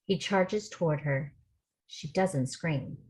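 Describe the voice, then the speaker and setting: A woman with a very low voice reads out stage directions. She delivers them as scripted narration for a film table read. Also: slow, darkish tone.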